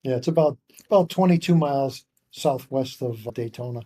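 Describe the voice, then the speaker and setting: An older man in his seventies tells stories in a mellow, dark-toned voice at a middling pitch. He rambles and restarts as he works through a long anecdote as a podcast guest. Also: fairly quick. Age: 70s. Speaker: guest author. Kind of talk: casual interview storytelling.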